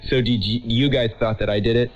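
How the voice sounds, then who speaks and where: A man's voice: mid-range voice, steady tone, a little fast, a little hiss or hum. An American man in his thirties telling a personal story in a reflective, wry way. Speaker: storyteller and host. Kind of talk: personal documentary narration and family chat. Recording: dull lo-fi radio sound, music behind.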